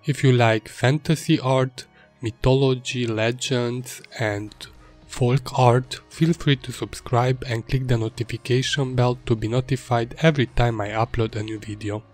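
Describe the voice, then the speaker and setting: Calm Hungarian man narrating art. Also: mid-range voice, unhurried. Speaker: illustrator. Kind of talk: voice-over narration of a drawing video. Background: faint music.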